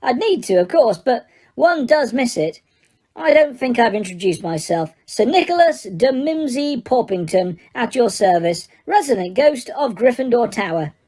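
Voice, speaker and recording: A woman's voice: on the high side, very animated, moderate pace, darkish tone. A woman voicing a formal, melancholy old ghost of a nobleman. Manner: formal, stiff, sad.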